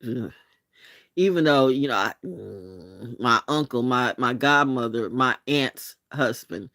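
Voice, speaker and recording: Blunt, lively-voiced woman in her sixties. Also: extremely low pitch, slow pace, dry recording, studio quality. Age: 60s. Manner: blunt and profane, with laughs and restarts. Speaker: channel host. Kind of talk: late-night vlog monologue.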